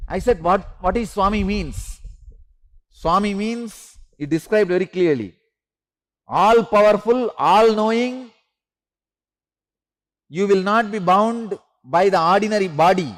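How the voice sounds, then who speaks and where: A man, a Tamil speaker, leading a spiritual class with slow, repetitive instructions and emphatic rises. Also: high voice. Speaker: spiritual teacher.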